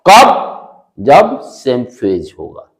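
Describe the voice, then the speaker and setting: A man with an Indian accent and a loud voice lecturing as a physics teacher, posing questions, repeating key phrases and mixing in English. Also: medium pitch, wildly swooping pitch, drawn-out words.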